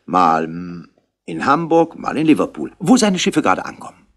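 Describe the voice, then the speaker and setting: An actor playing a wry, chatty police official in a radio crime drama. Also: male voice, deep voice, big pitch swings, normal speed. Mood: wry, gossipy. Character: brisk, talkative, wryly amused police official. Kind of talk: 1960s German radio crime drama acting.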